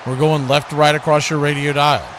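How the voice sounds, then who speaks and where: A man's voice: fairly high pitch, level tone, a little fast, a crowd in the background, noisy background. An American man calling football play-by-play for radio, hosting in clipped announcer phrases. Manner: announcer delivery describing action in clipped phrases. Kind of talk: live American football commentary and station promos.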